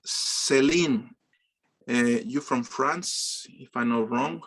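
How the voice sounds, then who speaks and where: A man's voice: mid-range voice, very slow, gravelly, fairly bright tone, low-quality recording. A man teaching an online business course over a video call, often stumbling and repeating words as he responds to students. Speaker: course instructor. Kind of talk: online class introductions.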